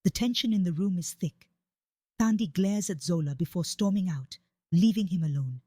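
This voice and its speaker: A woman's voice: lowish voice, moderate pace, dark tone, no echo. Woman narrating a dramatic story, dry close sound.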